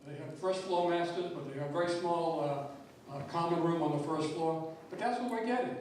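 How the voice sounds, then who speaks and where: A man's voice: fairly high pitch, brisk, darkish tone. An older man giving a blunt, frustrated public comment at a town meeting, often repeating words as he speaks. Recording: very echoey hall, distant mic, faint noise.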